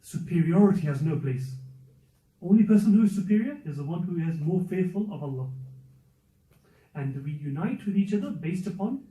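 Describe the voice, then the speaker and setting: A man's voice: sits high, lively intonation, normal speed, mellow, recorded from a distance. A man giving a religious lesson to a youth and parents circle, talking earnestly and repeating his main points. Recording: muffled, echoey room, speaker away from mic.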